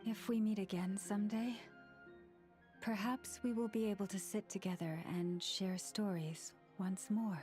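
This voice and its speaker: An actress voicing a calm, solemn priestess with antlers, speaking formally in game dialogue. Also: female voice, mid-range voice, measured pace, natural voice. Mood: gentle. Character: serene antlered female priestess, gentle and solemn. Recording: game audio with faint music behind.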